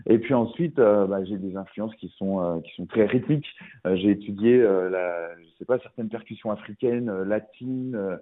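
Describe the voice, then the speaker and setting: A man's voice: lowish voice, moderate pace. A middle-aged man, a musician guest on a radio interview, talking casually with many fillers and restarts. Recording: tinny phone-like radio sound.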